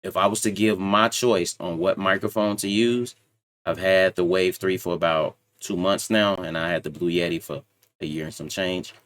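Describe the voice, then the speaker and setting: A man with a very deep, low voice talks casually into a desktop microphone at his home computer setup. He is self-assured and rambles, trailing off and starting over as he goes. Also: steady tone, normal speed, a bit bright. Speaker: channel host reviewing microphones. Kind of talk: casual tech review talk. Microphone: desktop USB microphone.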